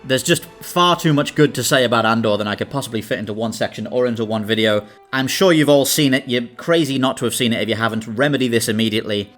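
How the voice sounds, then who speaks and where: A man's voice: mid-range voice, fast talker, a bit bright. A British man hosting a video, delivering scripted essay-style narration. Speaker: video host. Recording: music under the voice. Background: background music.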